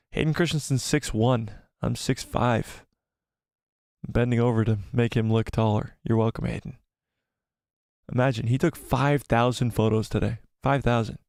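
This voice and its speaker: A young man hosting a live stream, rambling excitedly, laughing often and reading viewer comments, slightly losing his voice. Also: medium pitch, gravelly, dry recording, clean studio sound. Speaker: YouTube channel host.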